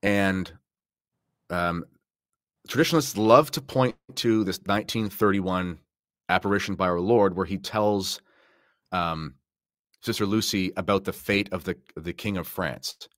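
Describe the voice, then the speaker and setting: Male podcast speaker, low pitch, dry close sound, conversational but emphatic explainer. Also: unhurried, pauses often, fairly bright tone, no room sound. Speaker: podcast guest discussing religion. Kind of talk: casual explanatory talk with slides and notes.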